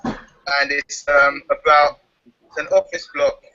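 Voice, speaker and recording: A man's voice: a little high, fairly even intonation, slow pace, bright, forceful tone, lo-fi sound, soft background music. A man chatting cheerfully as a guest, laughing easily. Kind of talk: casual chat.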